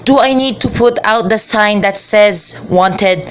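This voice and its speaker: A woman's voice: medium pitch, unhurried, a little hiss or hum, close to an everyday voice. A woman playing a cheeky, put-upon household servant in an amateur radio play.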